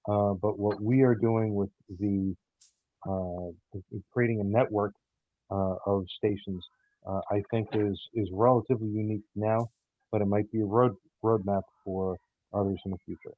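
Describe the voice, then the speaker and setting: A man answering interview questions about a radio show, speaking in a halting way with repeated words. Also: lowish voice, fairly even intonation, no room sound. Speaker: audio theater director and producer, guest. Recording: muffled, phone-like, narrow-band line.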